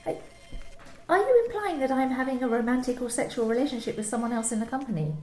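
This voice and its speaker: An actress playing a guarded young female sales worker who answers haltingly in an amateur radio play. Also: high voice, lively intonation, darkish tone, soft background music. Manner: hesitant, defensive, halting. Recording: roomy home recording, faint hiss.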